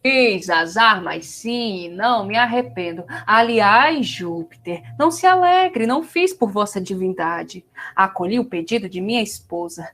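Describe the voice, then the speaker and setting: A woman's voice: mid-range voice, lively intonation, unhurried, hardly any pauses, fairly bright tone. A woman playing a proud, resentful male smith god, emphatic and defiant, in a mythological comedy audio drama. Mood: proud defiance.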